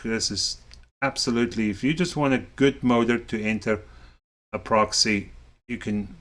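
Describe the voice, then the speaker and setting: A man with a mixed South African and Canadian accent, hosting a casual show-and-tell video as a series organizer and rambling with many fillers. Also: on the low side. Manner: rambling, filler-heavy, relaxed.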